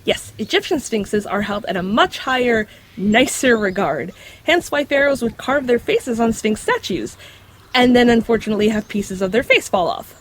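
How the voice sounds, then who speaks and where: A woman's voice: on the high side, animated, normal speed. A woman voicing a knowledgeable magical creature in dog form, explaining things matter-of-factly with dry wit in an audio drama. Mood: wry, informative. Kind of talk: scripted audio drama acting.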